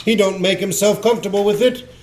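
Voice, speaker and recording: A cheerful young Victorian gentleman, played by a man, speaks in a high, good-humoured voice. It is theatrical radio play acting in an echoey room. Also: fairly even intonation, fast, lightly acted. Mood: genial, forgiving. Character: genial, forgiving, cheerful young Victorian gentleman, Scrooge's nephew.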